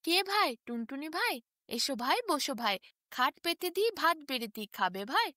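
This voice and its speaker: Storybook fire spirit, played by a woman, tired and unwilling, yet bright sing-song. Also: high-pitched, very animated, moderate pace, no room sound, clean studio sound, exaggerated voice. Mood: welcoming. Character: weary talking fire, done for the day.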